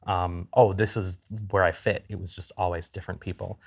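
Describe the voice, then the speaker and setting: A man's voice: low-pitched, level tone, brisk, vocal fry. A man from the Dominican Republic sharing his background earnestly in a church panel. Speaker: discussion panelist. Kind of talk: candid personal sharing.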